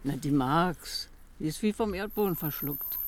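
An actor playing a blunt, brisk village customer with clipped speech in a naturalistic radio play. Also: male voice, on the high side, lightly acted. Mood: matter-of-fact. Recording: faint outdoor background noise.

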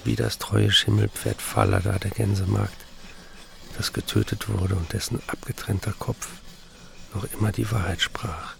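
A man's voice: fairly low pitch, expressive, moderate pace, gravelly, fairly bright tone, heavily acted. An actor playing a troubled writer who recounts his life with weary, bitter irony in a literary radio play. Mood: wry, measured.

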